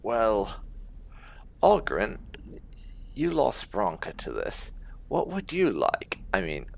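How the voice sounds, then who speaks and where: A man's voice: fairly low pitch, wildly swooping pitch, takes it slowly, gravelly. A man hosting a video game playthrough, musing aloud in casual commentary. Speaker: gaming video host.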